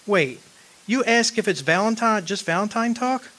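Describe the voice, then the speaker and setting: An actor playing a tender, earnest young suitor in a sentimental radio drama. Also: male voice, sits high, animated, normal speed, sharp tone. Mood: tender, excited.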